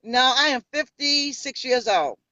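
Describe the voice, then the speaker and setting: A woman's voice: high-pitched, expressive, a little slow, pushed and bright, no echo, lo-fi sound. Bold, sassy New York woman in her fifties. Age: 50s. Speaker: pastor and interview guest. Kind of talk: casual interview.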